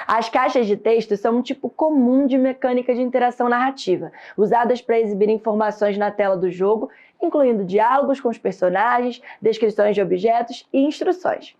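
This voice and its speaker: Brazilian woman reading out a lesson, steady and explaining, kinda high voice, muffled lo-fi audio. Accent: Brazilian Portuguese. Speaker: course instructor. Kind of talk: scripted lesson narration. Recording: dull lo-fi sound.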